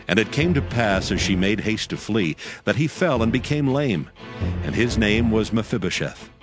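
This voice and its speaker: A man giving a measured, scripted narration of scripture. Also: lowish voice. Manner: measured, even reading. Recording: music bed under voice.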